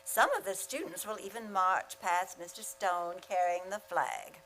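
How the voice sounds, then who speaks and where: A woman playing a wry, sardonic high school teacher in an old-time style radio comedy, with dry, sarcastic delivery. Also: steady tone, a little slow, fairly bright tone, faint music behind.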